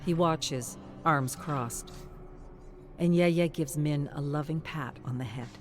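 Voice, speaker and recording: A narrator with a medium-pitched voice reads a scripted tale. The delivery is measured and dramatic storytelling in the present tense, laid over music.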